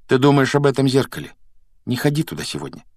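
Storybook role: anxious, loyal boy, played by a man, urgent delivery, pitched up, dry close recording. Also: big pitch swings, fast talker, darkish tone, dry recording. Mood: worried. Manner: urgent, pleading. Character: excitable, anxious schoolboy, loyal best friend.

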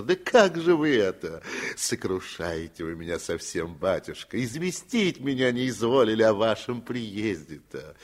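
A man voicing a gray-haired village steward, slightly drunk, gushing and flattering his master in a sing-song way. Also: fairly low pitch. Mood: fawning.

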